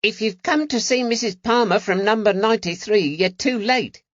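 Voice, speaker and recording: A man voicing a cheeky, eager young street urchin with a high-pitched voice and slangy talk, in an audiobook. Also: animated, normal speed, a bit bright, dry recording, highs cut off. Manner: colloquial street slang, bargaining.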